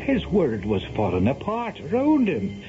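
A man's voice: fairly high pitch, huge pitch swings, a little slow. A man narrating a 1970s radio drama as a dramatic storyteller. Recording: muffled old radio broadcast with music.

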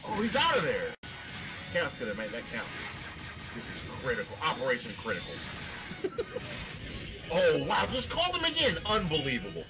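A man giving excited, casual play-by-play commentary on a fighting game match, often shouting. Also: on the high side, normal speed, long pauses, rough recording. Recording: game music loud behind. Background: loud video game music and sound effects.

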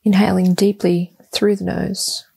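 A woman leading a guided meditation, giving soft, calm, soothing instructions in short phrases with long, deliberate pauses. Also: medium pitch, vocal fry, slightly rough.

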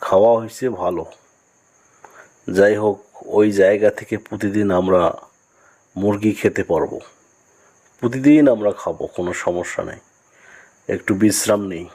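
A man's voice: lowish voice, moderate pace, pauses often, dark tone, natural voice, rough recording. A man playing a sly, well-fed fox in a cartoon moral story, chatting in a folksy, politely curious way. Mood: sated, content.

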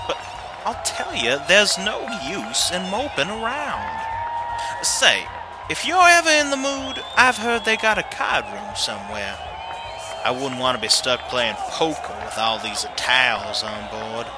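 A man's voice: medium pitch, sing-song, measured pace. A man playing a brash, sardonic 1950s American husband in an amateur radio play. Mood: breezy, sardonic. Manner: sardonic, breezy. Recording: busy outdoor crowd and music behind.

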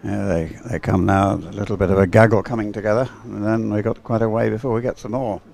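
A man giving unhurried, chatty live race commentary in an encouraging manner. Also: lowish voice, fairly even intonation, creaky, a little mellow, faint music behind. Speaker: sports commentator.

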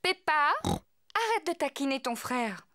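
A woman voicing a gentle, encouraging mummy pig in a children's cartoon. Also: sits high, very animated, takes it slowly, heavy background noise, full character voice. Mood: instructive, cheerful. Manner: encouraging, motherly. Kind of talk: children's cartoon acting in French dub. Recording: music under the voice.

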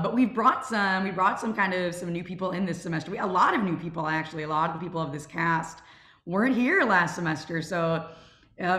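A woman's voice: low-pitched, a bit bright, echoey room. A young woman hosting a casual question-and-answer session over a group video call. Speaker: host of club Q&A, show creative team. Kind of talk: casual panel Q&A chat. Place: remote video call, roomy indoor space.

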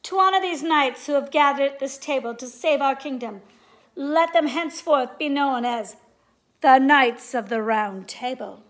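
A woman voicing a commanding, fair-minded storybook king with declamatory, measured proclamations to his knights. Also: high-pitched. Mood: proud.

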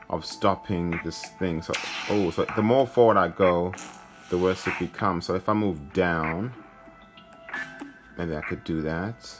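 A man hosting a gaming video, commentating casually and thinking aloud in an informal way. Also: sits low. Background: game music.

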